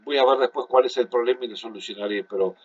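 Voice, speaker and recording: Guy with a cold lecturing over pc mic, slightly hoarse, bit muffled sound. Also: mid-range voice, quick pace. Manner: steady explanatory, many fillers and restarts. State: congested with a cough. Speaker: physics teacher. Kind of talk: recorded online class lecture. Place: at home at a computer.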